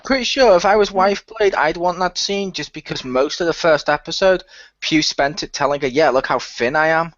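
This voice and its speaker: A man's voice: on the high side, normal speed, a bit bright, no echo. A man, a cast member, chatting casually and adding dry joking asides. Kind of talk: casual podcast chat. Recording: dull lo-fi dry sound.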